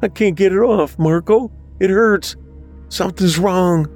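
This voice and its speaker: A man's voice: high-pitched, measured pace, a little mellow, soft background music, voice pushed up. A man voicing a frightened young boy in a horror story, whining, gasping and pleading. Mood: whining fear. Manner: whining, gasping, crying pleas.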